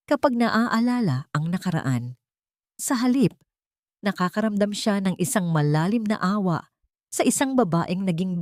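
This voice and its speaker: A woman's voice: on the low side, a little mellow, no room sound, clean studio sound. A woman with a Filipino accent reads a sentimental story as a dramatic audiobook narrator, in a solemn, earnest manner.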